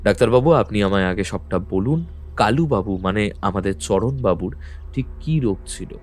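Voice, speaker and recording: Man acting a composed, low-voiced Bengali detective. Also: lively intonation, moderate pace, darkish tone, soft background music. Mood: calm, probing. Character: composed, incisive male Bengali detective, a self-styled truth-seeker. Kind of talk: Bengali audio drama acting.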